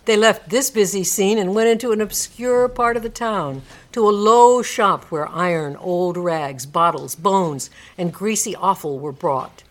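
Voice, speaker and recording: A woman narrating a classic story in a live dramatic reading, with measured, theatrical phrasing. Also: medium pitch.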